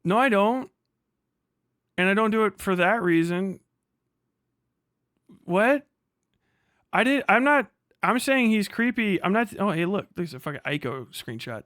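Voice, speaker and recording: A man's voice: high voice, lively intonation, a little slow, pauses often, a bit bright, dry recording, clean studio sound. A man livestreaming combative, off-the-cuff reaction commentary, indignant and mocking, swearing heavily and repeating phrases for emphasis.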